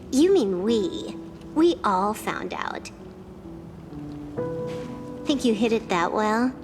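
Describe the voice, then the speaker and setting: A woman's voice: on the high side, expressive, drawn-out words, pauses often, over music. A woman playing a cool, cheeky young teammate in a video game, with a laid-back, dry delivery. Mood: teasing, smug.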